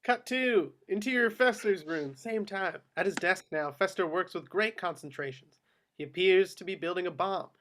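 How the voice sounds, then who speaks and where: Man, high-pitched voice, scripted narration, reading stage directions, table read. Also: moderate pace, fairly bright tone.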